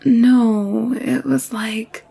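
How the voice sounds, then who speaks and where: A woman playing an anxious, secretive young alien nurse in an intimate audio drama, confiding in a hushed, hesitant way. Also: a little high, fairly even intonation, extremely slow, vocal fry, husky, full character voice. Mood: haunted, searching. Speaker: voice actor in audio roleplay.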